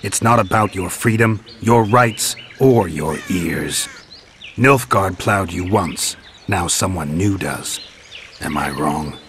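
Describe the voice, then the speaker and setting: An actor playing a cynical, world-weary warrior who hunts monsters in a video game, speaking in a dry, blunt, restrained way. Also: male voice, deep voice, slow pace, fairly bright tone, slight background noise. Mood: challenging.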